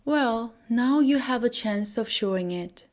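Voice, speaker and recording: A woman's voice: fairly high pitch, expressive, unhurried. Woman reading as a gloomy, loving merchant father. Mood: grave, tender.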